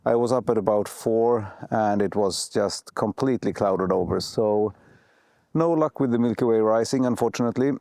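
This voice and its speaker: A man with a cold and a sore throat, vlogging outdoors at night and thinking aloud with pauses and restarts. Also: on the low side, vocal fry, warm tone, dry recording. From Sweden. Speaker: photography vlogger. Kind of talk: casual vlog narration.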